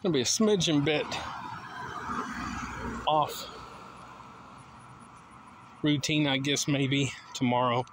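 A man's voice: on the high side, takes it slowly, pauses often, slight background noise. A middle-aged man talking casually into a phone held at arm's length while walking outdoors down a suburban street, rambling along easygoing with lots of fillers. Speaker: vlogger. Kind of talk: casual walking vlog monologue.

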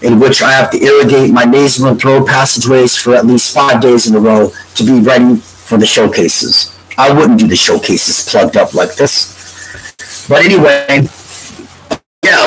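A man in his forties speaks slowly into a desk webcam in a book-lined room at home, his nose clearly stuffed up. He meanders from point to point, drawing out his words, with a faint hiss in the background. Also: mid-range voice, animated. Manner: meandering, drawn-out, breaks into humming a tune. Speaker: vlogger. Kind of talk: rambling monologue to webcam. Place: home room with bookshelves, indoors.

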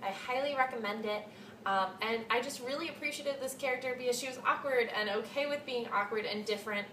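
A young woman with a fairly high voice talks casually and gushingly, like a home book vlogger. She sounds set back from the camera mic in an echoey room, with a little noise underneath. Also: fairly quick, pushed and bright. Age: 20s. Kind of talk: casual chat to camera about books. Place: small cluttered room at home, indoors. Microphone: camera mic a short distance away. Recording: echoey room, distant mic, faint hiss.

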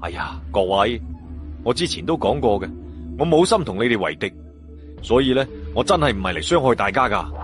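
A man's voice: medium pitch, sing-song, brisk, faint music behind, natural voice. A man voicing a cocky, nonchalant young martial arts hero in an audiobook, flippant and bragging. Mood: weary, placating.

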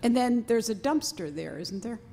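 An older woman at a standing microphone in a town meeting room asks questions in a halting, curious way. Her voice is gravelly, with a faint room hum behind. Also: on the high side, lively intonation, dark tone. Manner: halting, inquisitive. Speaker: resident asking questions. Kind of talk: public comment.

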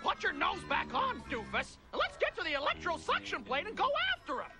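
A man voicing a bossy, hot-tempered robot rooster villain in a cartoon, shrill and full of scolding insults. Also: wildly swooping pitch, brisk, loud music behind the voice, heavily acted. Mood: irritated.

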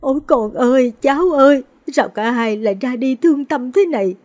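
A woman voicing an old grieving mother who wails her lament in a high, strained voice. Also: moderate pace, darkish tone, natural voice. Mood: wailing grief.

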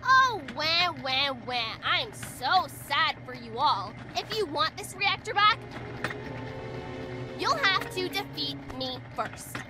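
A woman voicing a smug, mischievous girl villain in a children's animated game, with a mocking, theatrical delivery. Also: high-pitched, slow, full character voice, poor audio. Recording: loud music under the voice.

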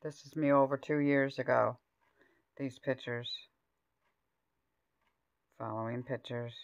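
A woman's voice: rumbling low, fairly even intonation, takes it slowly, creaky, dull audio. A middle-aged woman casually listing items in short phrases for a home vlog, recorded on a handheld phone. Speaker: home vlogger. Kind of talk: casual grocery haul vlog. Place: indoors at home, at a table.

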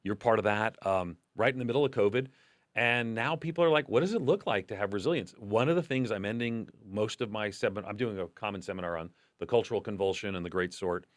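A man's voice: lowish voice, fast, dry recording. A man in his fifties hosting a podcast interview in a studio, talking in a loose, conversational way with restarts. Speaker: podcast host. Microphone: desk boom mic.

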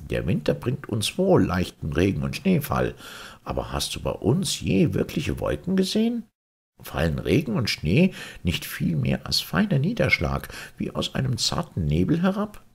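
A man acts out a wise male Martian airship pilot from a storybook. He speaks formally and eloquently, lifted well above the narration, with sweeping intonation and a husky edge. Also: on the low side, wildly swooping pitch, moderate pace, a little mellow, pitched above the performer's own voice, full character voice. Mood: admiring, instructive.

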